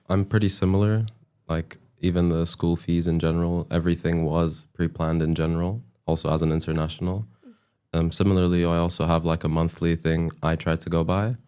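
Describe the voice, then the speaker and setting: A man's voice: deep voice, a little mellow, clean tone. A young man from Turkey, a student guest, chatting casually and hesitantly with many restarts and fillers. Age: young adult. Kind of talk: casual chat.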